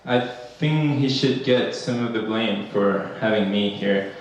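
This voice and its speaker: A man's voice: lowish voice, slow pace, lo-fi sound, slight background noise. A man from Ecuador giving a conference talk, hesitant with many fillers and restarts. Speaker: academic panel speaker. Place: conference room. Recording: echoey hall, speaker far from mic.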